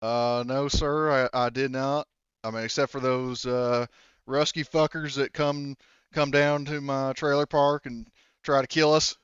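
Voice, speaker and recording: A man voicing a dim, rough-spoken backwoods witness in a tabletop game, with halting, folksy, rustic phrasing. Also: mid-range voice, steady tone, a bit bright, no room sound, highs cut off, lightly acted. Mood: indignant.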